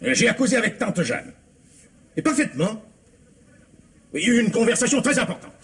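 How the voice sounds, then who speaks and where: A man's voice: high-pitched, big pitch swings, moderate pace, a bit bright, husky, full character voice. An actor playing an anxious, irritable husband in his fifties, stammering and exclaiming in the style of old French radio drama. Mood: stern, irritated. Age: 50s. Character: anxious, irritable middle-aged husband and father, Robert.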